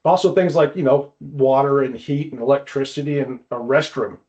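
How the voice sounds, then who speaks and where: A man speaking as a guest expert, explaining casually with halting phrasing and repeated words. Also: medium pitch, fairly even intonation, a little mellow, highs cut off. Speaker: guest expert, climbing wall builder.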